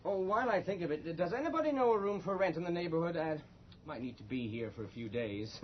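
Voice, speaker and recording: A man's voice: on the high side, lively intonation, quick pace, slight background noise, close to an everyday voice. A male actor playing a courteous, ingratiating and persistent newspaper reporter in a radio drama. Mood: eager. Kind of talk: 1980s radio drama acting. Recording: muffled old radio broadcast.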